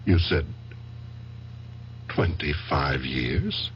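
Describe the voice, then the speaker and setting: A very deep male voice, that of a calm, formal psychiatrist in an old radio play. He is measured and patient as he probes. The sound is dull with faint hum and noise. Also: exaggerated voice. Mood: patient, probing. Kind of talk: old-time radio drama acting.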